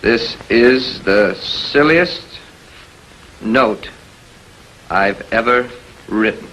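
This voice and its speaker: An actor playing a guarded murder suspect in a 1940s television crime drama, answering in short, unhurried phrases. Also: male voice, mid-range voice, fairly bright tone. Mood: cooperative, dry. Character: guarded male handwriting witness turned murder suspect. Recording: noisy 1940s film soundtrack.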